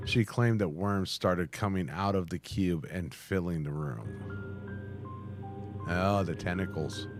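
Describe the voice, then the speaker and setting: A man with a deep voice reads text aloud for a reaction video. He halts and repeats phrases, dropping in casual remarks. Music plays clearly behind him. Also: takes it slowly. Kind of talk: reading on-screen text aloud with casual commentary.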